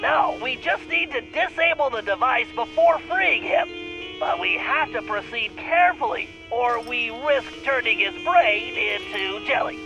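A man's voice: extremely high pitch, animated, unhurried, creaky, heavily acted, poor audio. A man playing a brainy, earnest cartoon turtle tech planner in a video game, with a precise, fussy manner. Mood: analytical. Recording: music behind the voice.

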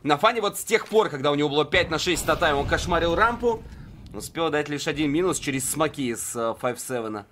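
A man commentating live on a video game match, conversational and swinging into excited play-by-play. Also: a little high, a little fast, a little hiss or hum. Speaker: esports commentator. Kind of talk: live game commentary in a two-caster duo.

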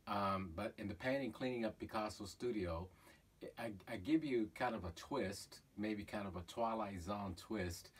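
A middle-aged man, a painter, talking casually about his own painting in a halting way with many fillers, filmed in a cluttered studio. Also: on the low side, husky. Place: cluttered art studio indoors. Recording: voice from far off, faint room noise.

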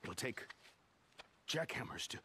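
A man's voice: measured pace, pushed and bright, a little hiss or hum. An actor playing an exasperated work-camp foreman in a video game, argumentative and emphatic. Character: exasperated work-camp foreman standing up to his boss, Alkai. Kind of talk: naturalistic game cutscene acting.

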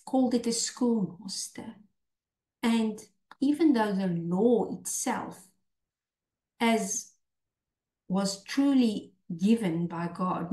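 A woman in her fifties teaching the Bible in an earnest, unhurried way, pausing and restarting often. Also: mid-range voice, mellow. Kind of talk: unscripted Bible teaching over shared screen. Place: small indoor room. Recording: echoey room.

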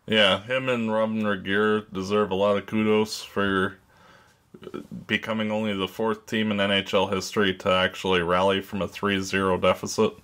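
Low-voiced podcast co-host man. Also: little pitch movement, moderate pace, a bit bright, smooth. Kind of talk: casual chat.

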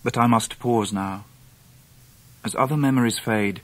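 Man playing a polite young english gent telling his story in a radio play, bit husky, gentle. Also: medium pitch, measured pace, natural voice. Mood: wistful. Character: gentle, courteous, well-bred young gentleman narrator. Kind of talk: radio drama narration and dialogue.

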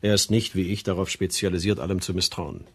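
Deep, husky pathologist. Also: male voice, fairly even intonation. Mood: clinical, firm. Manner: measured, clinical, explanatory. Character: precise, sceptical male pathologist reporting autopsy findings. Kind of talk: German radio crime drama acting.

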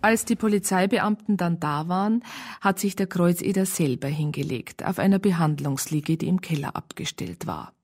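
A matter-of-fact police psychologist in a radio crime drama. Her voice is fairly low and husky, with a dry delivery. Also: expressive, normal speed, darkish tone. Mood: dry narration. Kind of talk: first-person narration and dialogue in radio crime drama.

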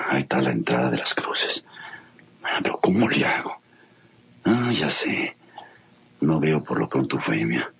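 An actor playing a suspicious, blustering treasure hunter muttering to himself in a radio drama, with a Mexican accent. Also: male voice, a bass voice, gravelly, exaggerated voice. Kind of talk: radionovela monologue. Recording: muffled, hissy old radio drama recording.

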